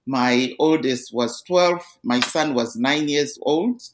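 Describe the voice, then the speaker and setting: A woman's voice: extremely low pitch, slow, slight background noise. Earnest middle-aged Zimbabwean woman. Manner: earnest, steady storytelling with small restarts. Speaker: interview guest. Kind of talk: personal life story in a podcast interview.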